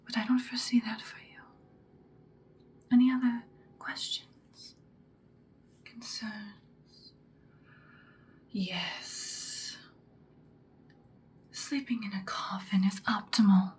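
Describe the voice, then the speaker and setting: A woman playing an ancient, powerful female vampire in an ASMR roleplay, whispering breathily in an intimate, calmly menacing, seductive way. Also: fairly high pitch. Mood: calm, instructive. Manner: slow, deliberate, intimate. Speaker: ASMR performer.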